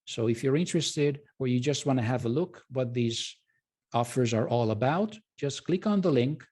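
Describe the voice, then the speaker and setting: Male webinar presenter. Soft-edged, dark tone with some huskiness; polite, informal, halting sales delivery. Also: mid-range voice, lively intonation, slightly rough. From Hungary. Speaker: presenter and trading educator.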